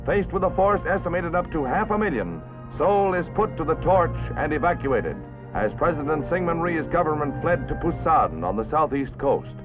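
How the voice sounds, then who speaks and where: High-pitched 1950s American newsreel man over music. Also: very animated, normal speed. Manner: dramatic, solemn, emphatic announcer delivery. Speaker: newsreel narrator. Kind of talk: 1950s newsreel scripted narration. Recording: muffled vintage 1951 newsreel soundtrack.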